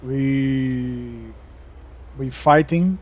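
A Brazilian man talks casually over a driving game in a slow, unhurried way, with a Brazilian Portuguese accent. He halts often, restarting phrases and filling gaps with "uh". His voice comes through a slightly dull, muffled home microphone at a middling pitch. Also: darkish tone. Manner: halting, many fillers and restarts. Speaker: gaming YouTuber.

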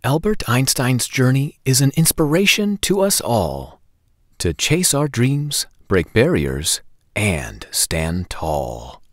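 A man reads a picture book aloud for children. His pitch rises and falls dramatically in a sing-song way, and he takes his time with each phrase. The sound is close and dry, from a lapel mic. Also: no echo. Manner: deliberate, animated, sing-song delivery. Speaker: children's storytime host. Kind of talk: expressive read-aloud narration for children. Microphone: lapel microphone.

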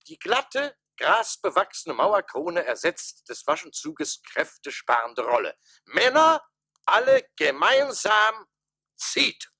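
An actor playing a pompous, henpecked town mayor with theatrical radio play delivery. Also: male voice, sits high, huge pitch swings, slow, sharp tone, no room sound, studio quality, full character voice. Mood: eager, commanding. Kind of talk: stage-like radio play acting.

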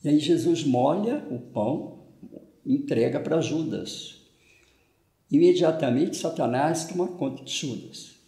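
An older Brazilian Portuguese-accented priest preaching a homily to a camera at medium distance in a small room at home. His voice is gravelly and mellow, and its pitch moves up and down a lot. The room gives it a noticeable echo. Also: expressive, measured pace. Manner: conversational, frequent "né" tag questions, gestures with hands. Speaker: parish priest. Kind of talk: homily on the gospel. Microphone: fixed camera at medium distance. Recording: echoey room.

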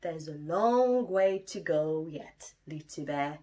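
A woman voicing a calm, patient father bear who speaks slowly and soothingly. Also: low-pitched, pitched below the performer's own voice, full character voice. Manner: slow and soothing.

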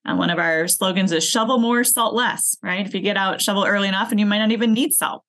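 A woman's voice: mid-range voice, steady tone, fast, a bit bright. A woman from northern Wisconsin, a program manager appearing as a podcast guest, chatting easily and explaining things in a casual interview.

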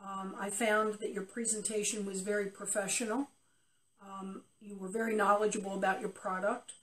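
A woman's voice: mid-range voice, little pitch movement, normal speed, distant mic, poor audio. An older woman giving an unscripted customer testimonial in an interview, recorded in a basement a few feet from the camera. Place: cluttered unfinished basement.

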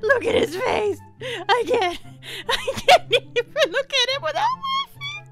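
A woman's voice: extremely high pitch, huge pitch swings, unhurried, heavily acted. A woman voicing a giddy, mocking royal attendant in a comic dub. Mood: hysterical glee. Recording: loud music behind the voice.

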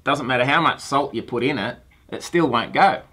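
Aussie guy in his 40s on the phone, earnest, keeps restarting, bright voice. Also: mid-range voice, moderate pace, slightly rough. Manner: friendly, earnest, hesitant restarts. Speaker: science teacher and host. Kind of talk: phone call to a company. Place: small room with shelves of toys and lab gear. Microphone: phone handset held to ear, room mic.

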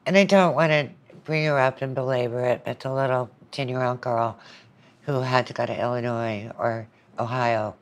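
An older woman with a strikingly deep voice speaks in a conversational interview. She is earnest and reflective, stating her opinions with emphasis. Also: steady tone, normal speed. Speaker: podcast guest, university lecturer.

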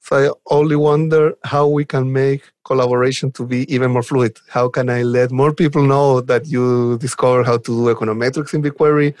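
A man's voice: medium pitch, little pitch movement, a little fast, hardly any pauses, darkish tone, dry recording. A man, chatting informally and enthusiastically as an interview guest. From Chile. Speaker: podcast guest, software developer advocate. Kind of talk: conversational interview answers.

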